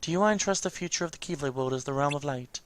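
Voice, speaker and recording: A man voicing a solemn mentor who speaks gravely and formally in a video game story. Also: on the high side, very fast, natural voice. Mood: solemn foreboding.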